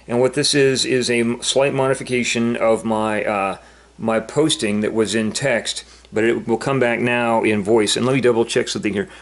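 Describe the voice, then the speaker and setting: Hesitant, rambling male vlogger. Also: mid-range voice. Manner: halting, repetitive, rambling. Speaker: vlogger demonstrating an app. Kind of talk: casual unscripted explanation to camera.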